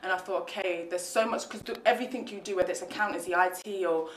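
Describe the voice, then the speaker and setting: A woman's voice: lowish voice, quick pace, a little hiss or hum. Young woman student interviewing, echoey room. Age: young adult. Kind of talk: casual interview chat. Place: bright indoor room at a college.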